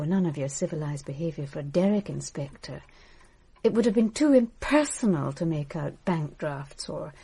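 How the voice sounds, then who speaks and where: An actress in a radio drama playing a scornful, bitter estranged wife with a caustic, haughty manner. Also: female voice, on the low side, mellow, husky.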